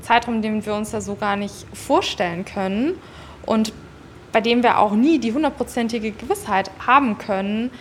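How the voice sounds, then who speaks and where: A woman's voice: fairly high pitch, normal speed, a little hiss or hum. A woman giving spontaneous, explanatory answers as an interview guest. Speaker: interviewee, volunteer citizen member of an advisory body.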